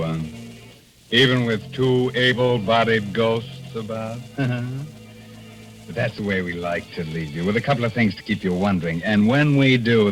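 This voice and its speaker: A man's voice: fairly low pitch, poor audio. A man hosting a 1940s radio horror show with sardonic, macabre, teasing scripted banter. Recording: vintage 1940s radio recording.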